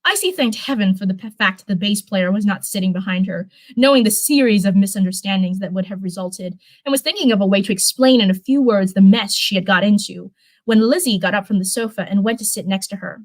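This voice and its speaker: A woman hosting a livestream, with an ordinary mid-pitched voice. She talks informally and a little ramblingly, slipping between chat and storytelling read-aloud. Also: fairly quick. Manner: rambling, informal, repeats herself. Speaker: streamer reading viewer-submitted fan fiction.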